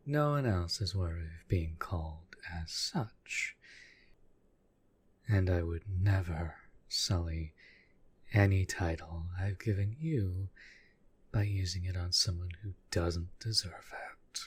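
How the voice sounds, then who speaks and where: A man playing a proud, possessive demon in a roleplay audio drama, speaking formally to the master he serves with menacing devotion in a husky, breathy voice. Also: deep voice, exaggerated voice. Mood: proud, devoted. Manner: formal, measured, menacing yet deferential.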